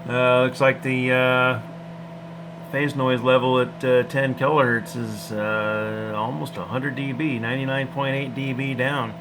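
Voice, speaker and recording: A man's voice: mid-range voice, level tone, unhurried, noisy background. A man giving a casual, off-the-cuff technical demonstration in a folksy, hesitant way, thinking aloud as he goes. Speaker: hobbyist demonstrator.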